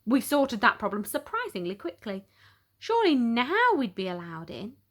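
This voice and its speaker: Animated woman reading a children's storybook. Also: fairly high pitch, moderate pace. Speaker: storyteller, picture book reader. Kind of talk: expressive children's storybook narration.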